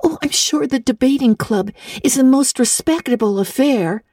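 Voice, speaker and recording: Pleading little girl, high pitch, mellow tone, gushing, earnest, breathless, classic storybook. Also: normal speed, no room sound, studio quality. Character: eager, pleading, imaginative young orphan girl. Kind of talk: dramatic audiobook reading of classic literature.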